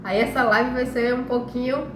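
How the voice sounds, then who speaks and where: A woman's voice: a little high, steady tone, moderate pace. A Brazilian woman with a slightly nasal, congested voice from a cold, explaining things informally as host of a health live stream and often checking that listeners follow. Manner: conversational, frequent check-in tags. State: congested, recovering from flu. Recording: echoey room with clear background noise.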